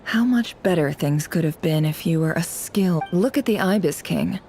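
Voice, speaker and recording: A candid, introspective young woman in a fantasy game. The voice is low and firm-edged, with a dry, skeptical delivery. Faint music sits underneath. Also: animated, moderate pace, darkish tone. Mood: reflective. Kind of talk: video game voice acting.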